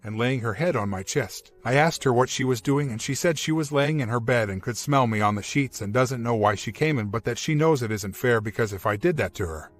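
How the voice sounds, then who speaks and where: A man's voice: mid-range voice, a little fast, few pauses, faint music behind. A man reading a first-person personal story aloud as scripted narration. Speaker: narrator reading online relationship stories.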